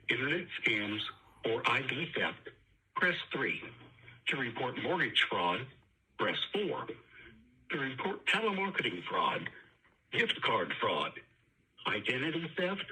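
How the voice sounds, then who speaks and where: A man reading a scripted, formal automated phone menu announcement with measured enunciation. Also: mid-range voice, pushed and bright, low-quality recording. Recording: phone menu recording with faint noise.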